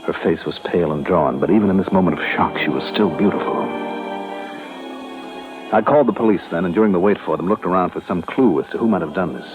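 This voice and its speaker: Actor as brisk, low-voiced radio detective. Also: male voice, natural voice. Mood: cool, matter-of-fact. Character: male private insurance investigator, tough, cool and observant. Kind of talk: old-time radio detective drama with first-person narration. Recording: tinny vintage radio recording with music.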